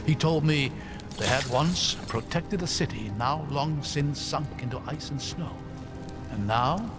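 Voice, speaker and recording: An actor playing a curious, learned scholar in a fantasy video game, articulate, formal and thoughtful. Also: male voice, mid-range voice, lightly acted. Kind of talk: dramatic video game acting.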